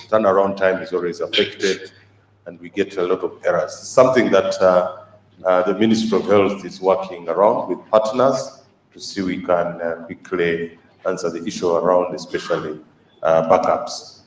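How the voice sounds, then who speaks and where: A man moderating a health conference session, halting with many fillers. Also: deep voice, fairly even intonation, slight background noise. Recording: echoey hall from far off, faint ambience.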